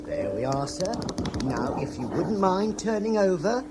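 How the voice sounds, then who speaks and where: A servile, soothing attendant in a sci-fi radio play. He speaks slowly and coaxingly, with deference. The pitch glides widely over loud background noise. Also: very animated. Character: soothing, obsequious personal attendant and masseur. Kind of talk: British radio science fiction drama. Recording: noisy, slightly off mic.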